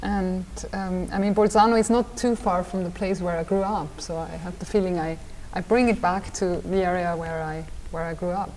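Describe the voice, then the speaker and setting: A woman's voice: fairly low pitch, steady tone, normal speed, hardly any pauses, darkish tone. Woman, Austrian accent, fifties, hesitant restarts, reverberant room, faint background hum. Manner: hesitant, with frequent repeated words and restarts. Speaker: designer and design teacher. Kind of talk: conversational interview answers.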